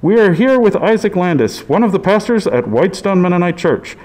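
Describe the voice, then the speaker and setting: A man's voice: high-pitched, big pitch swings, fairly quick, a little mellow, poor audio. A man playing an earnest news reporter broadcasting live in a radio play. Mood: earnest, announcing.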